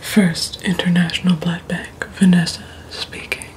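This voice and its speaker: A woman's voice: lowish voice, flat delivery, close to an everyday voice, poor audio. Woman playing a whispering vampire bank teller. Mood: hushed, uneasy. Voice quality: soft, breathy whisper. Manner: slow, deliberate, hushed, formal. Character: female vampire blood bank teller, polite, poised, gothic. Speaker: receptionist. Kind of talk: soft-spoken ASMR roleplay.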